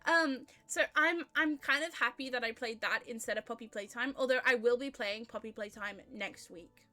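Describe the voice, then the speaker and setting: A woman's voice: a little high, normal speed, pushed and bright, faint music behind. A woman livestreamer chatting casually with viewers, in a chatty, gushing way. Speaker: streamer, indie vtuber.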